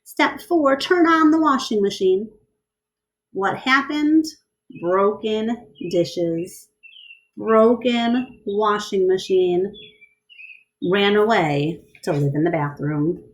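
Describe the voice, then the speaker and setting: A woman reading a picture book aloud to children in an expressive style, pausing to question and explain things to her young listeners. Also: mid-range voice, low-quality recording. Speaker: read-aloud storyteller.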